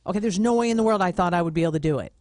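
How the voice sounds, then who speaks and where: A woman's voice: on the low side, very fast, a little mellow, dry recording, studio quality. A woman in her fifties, a podcast host and author, telling a story with upbeat enthusiasm. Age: 50s. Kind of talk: casual interview chat.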